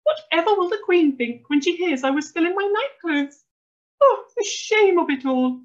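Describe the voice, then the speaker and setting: A woman voicing an excitable, bossy, royal-mad mum from a children's storybook, with a squeaky voice and a frantic, scolding manner. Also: moderate pace, lo-fi sound. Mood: flustered bossy. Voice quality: squeaky, shrill.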